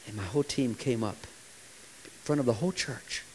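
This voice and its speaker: A man in his forties, a pastor preaching a sermon with impassioned delivery that sometimes drops to a hushed, quiet tone. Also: mid-range voice, brisk, a little hiss or hum, poor audio. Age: 40s.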